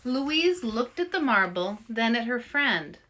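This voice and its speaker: A woman reads a children's story aloud with dramatic expression. Her voice is fairly high and very animated, and she takes her time over the words. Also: takes it slowly, recorded from a distance, a little hiss or hum. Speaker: author reading aloud, host.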